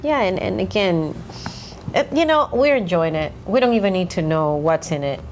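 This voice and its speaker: A woman with a Spanish accent, a native Spanish speaker, co-hosting a casual podcast chat with animated storytelling and frequent laughs. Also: lowish voice, moderate pace, a little hiss or hum. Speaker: podcast co-host.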